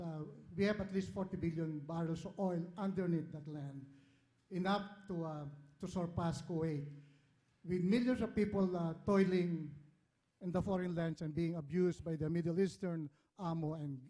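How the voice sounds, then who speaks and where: A man with a Filipino accent putting an earnest, halting, long-winded question from the floor at a public event. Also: on the high side, mellow. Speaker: audience questioner, community organizer.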